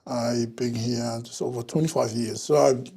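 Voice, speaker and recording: A man from Samoa, speaking as a guest in a relaxed, plain-spoken interview chat. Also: medium pitch, normal speed, gravelly, breathy edge. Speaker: events and marketing manager, guest.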